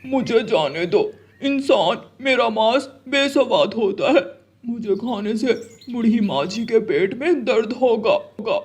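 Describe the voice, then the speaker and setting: Cartoon crow, male, played by a man: high, husky, earnest and emphatic. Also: measured pace, exaggerated voice. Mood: desperate pleading. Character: Kalu, pleading loyal male crow, a cartoon bird. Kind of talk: Hindi children's cartoon story voice acting.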